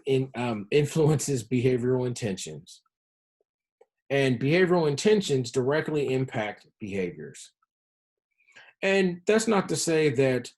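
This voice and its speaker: A man giving an educational presentation as a public health presenter, explaining things in a measured way. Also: medium pitch, darkish tone, slightly rough. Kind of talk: educational presentation on health-focused game design.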